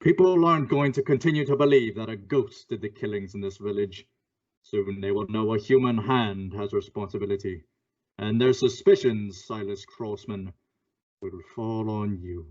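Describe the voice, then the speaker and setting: A man acting a stern, menacing invisible crime fighter in an old-time radio thriller, speaking deliberately and accusingly. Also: fairly low pitch, a little mellow, close to an everyday voice. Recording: dull lo-fi audio.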